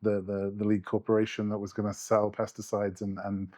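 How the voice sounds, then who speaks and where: A man's voice: on the low side, completely flat delivery, a little fast, a little mellow. A man who grew up in the UK, a guest researcher speaking in a thoughtful interview, often restarting his sentences. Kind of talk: conversational interview.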